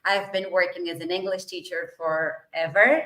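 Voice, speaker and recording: A woman's voice: on the low side, steady tone, moderate pace, a bit bright, echoey room. A middle-aged woman from Argentina, an English teacher, answering interview questions fluently and with enthusiasm.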